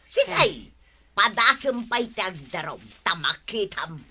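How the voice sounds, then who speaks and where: A woman playing a sharp-tongued, goading village woman in a comedy radio drama, scolding with an Ilocano accent. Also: mid-range voice, very animated, slow pace, noisy background.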